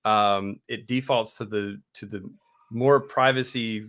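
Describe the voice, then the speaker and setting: A man co-hosting an IT training video, explaining settings in a halting, loose way with many restarts. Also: on the low side, fairly even intonation, a bit bright. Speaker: IT trainer, co-host. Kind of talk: casual tech explanation.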